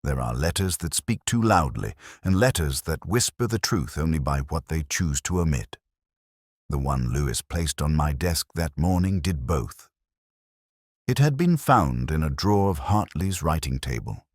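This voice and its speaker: A man narrating a scripted first-person detective story in a measured, literary, brooding way. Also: rumbling low, big pitch swings, no echo, clean studio sound.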